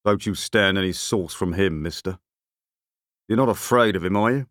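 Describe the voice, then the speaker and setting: A man's voice: deep voice, moderate pace, a bit bright, no echo, studio quality. A man voicing a big, beefy working-class bully in an audiobook, blunt, growling and aggrieved. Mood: goading. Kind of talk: audiobook character voice.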